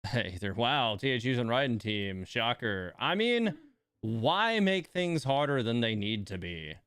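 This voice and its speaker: A man streaming a video game, thinking aloud in a relaxed, informal way as he gives casual live commentary. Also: medium pitch, a little slow, clear, resonant tone, no room sound, clean studio sound.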